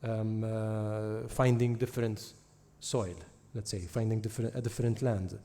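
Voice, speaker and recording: A man moderating a book launch interview, asking thoughtful, halting questions. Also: on the low side, level tone, dark tone.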